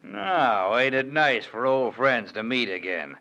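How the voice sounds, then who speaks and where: An actor playing a ruthless, mocking outlaw boss in 1940s radio acting style. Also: male voice, mid-range voice, very animated, unhurried, pushed and bright, heavily acted. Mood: gloating. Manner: mocking, taunting. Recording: muffled old radio recording.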